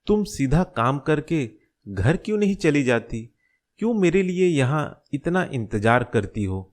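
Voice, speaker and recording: A man voicing a caring, concerned middle-aged father in a cartoon story, giving gentle advice. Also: fairly high pitch, big pitch swings, measured pace. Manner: gentle, advising. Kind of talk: Hindi cartoon story dialogue.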